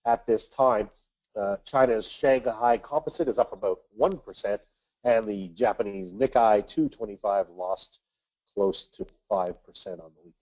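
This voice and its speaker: A man with a nasal voice from a cold, hosting a weekly investment webinar and reading market commentary in a measured way with the odd filler. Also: lowish voice, darkish tone, muffled recording. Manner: measured, reads from notes with filler uhs. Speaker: webinar host, investment manager.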